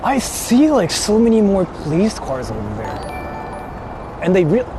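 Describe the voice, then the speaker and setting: A man's voice: high voice, huge pitch swings, measured pace, music in the background. A man livestreaming outdoors, chatting casually and informally, repeating himself and swearing as he rambles. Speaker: livestreamer hosting an outdoor stream. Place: outdoors on Tokyo streets. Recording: noisy city street with passing traffic.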